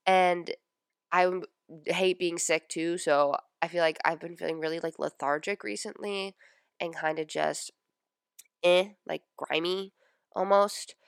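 Stuffy-nosed young woman with a creaky voice. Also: on the low side, fairly even intonation, measured pace, dry recording, clean studio sound. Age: 20s. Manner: chatty, lots of "like" and "um". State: stuffy nose from a sinus infection. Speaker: podcast host. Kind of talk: casual personal podcast chat.

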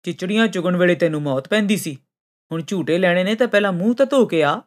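A resentful storybook camel, spoken by a woman narrator. The voice sits slightly low and rebukes with pointed taunts. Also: animated, fast talker, lightly acted. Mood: taunting.

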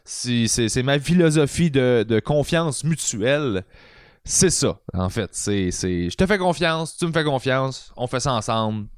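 A man with a Quebec French accent hosts a casual podcast, teasing his friends in a laid-back, slangy way. His voice sits at an ordinary pitch and is recorded close and dry, with no room echo. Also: expressive, a little slow, clear, resonant tone. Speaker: podcast host. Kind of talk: casual chat.

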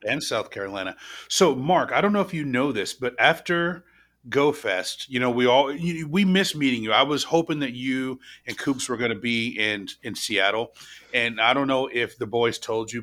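A man from the US west coast in his thirties, co-hosting a podcast in relaxed casual chat. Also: mid-range voice, normal speed. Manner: relaxed, chatty, repeats words while starting sentences. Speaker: podcast co-host.

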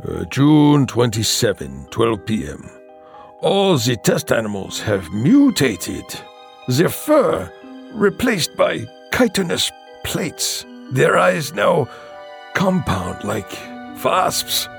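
An actor playing a tormented, sorrowful mad scientist in a campy horror audio drama, halting and melodramatic. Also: male voice, medium pitch, wildly swooping pitch, vocal fry, soft background music, full character voice. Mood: brooding sorrow.

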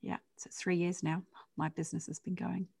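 A middle-aged woman with a British accent speaks as an interview guest. Her voice sits low, with a gravelly, creaky edge. She talks in a relaxed, chatty way, full of ums and mid-sentence corrections. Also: fairly quick. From United Kingdom. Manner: conversational, lots of fillers and self-corrections. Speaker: guest, change management consultant. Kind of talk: podcast interview.

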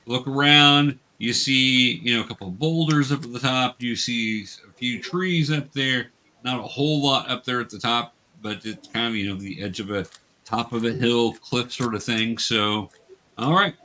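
A man's voice: medium pitch, normal speed, bright, forceful tone, a little hiss or hum. A man acting as game master, narrating a tabletop game and explaining options to the players.

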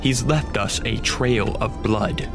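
An actor playing a composed, formal vampire count in a radio drama. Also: male voice, lowish voice, takes it slowly, music in the background. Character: Dracula, calm aristocratic male vampire count.

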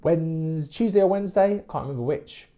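Chatty man with a mellow voice. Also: a little high, fairly quick. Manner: chatty, explains things plainly. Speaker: mortgage broker and podcast host. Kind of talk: casual live Q&A podcast. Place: based in the Midlands.

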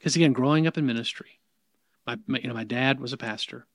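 A man's voice: medium pitch, fast, dry recording, studio quality. A middle-aged man with a Southern US accent, a minister talking as an interview guest in an emphatic, preacherly way with many restarts. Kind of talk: casual interview conversation. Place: in person, New York.

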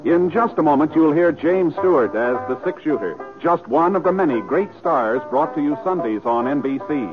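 A man's voice: fairly high pitch, expressive, moderate pace, hardly any pauses, phone-quality sound. A man doing scripted 1950s radio announcing on an old broadcast recording. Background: loud music.

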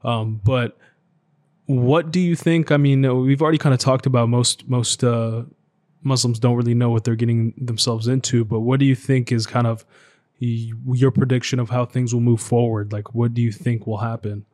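A man hosting an interview in casual chat, speaking informally and haltingly as he rambles through his thoughts. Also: mid-range voice, flat delivery, darkish tone. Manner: informal, rambling, halting, acts out quotes in loose everyday speech. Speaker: podcast host interviewing a guest.

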